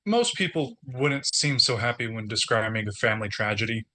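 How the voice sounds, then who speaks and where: An actor playing a dejected, sardonic man down on his luck in a naturalistic script read, deadpan and halting. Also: mid-range voice. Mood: wry, unsettled.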